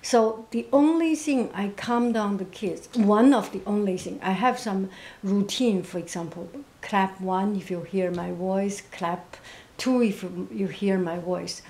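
A woman who is not a native English speaker, answering interview questions reflectively in a quiet, monotone voice with a gentle, halting manner. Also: medium pitch. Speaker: interviewee, painter and teacher.

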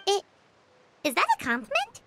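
A woman voicing a cheeky, childlike floating fairy girl in a video game, whiny and excitable. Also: normal speed, background noise, heavily acted. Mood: flustered. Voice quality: squeaky, childlike. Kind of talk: video game character dialogue.

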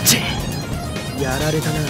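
Casting: male actor as arrogant game villain, rough drawl, menacing taunts, music behind. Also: fairly high pitch, little pitch movement, unhurried, close to an everyday voice. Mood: taunting, menacing. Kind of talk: Japanese game audio drama acting.

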